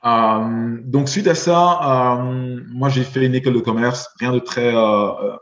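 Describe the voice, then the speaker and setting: A man in his forties from Belgium, a podcast guest talking in a halting way, with many fillers and repeated words. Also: mid-range voice, reverberant, highs cut off. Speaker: guest, investor. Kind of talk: podcast interview.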